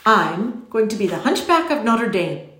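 A woman's voice: mid-range voice, very animated, moderate pace, a bit bright. Woman as a blunt, suspicious young boy, echoey. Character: blunt, suspicious ten-year-old boy. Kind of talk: audiobook character voice.

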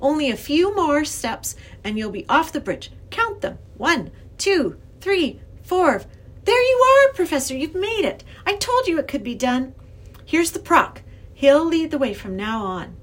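An earnest young girl from a children's storybook, acted by a woman, high in pitch and swooping with excitement. She speaks insistently, pleading like someone who badly needs to be believed. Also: a little slow, hardly any pauses. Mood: encouraging.

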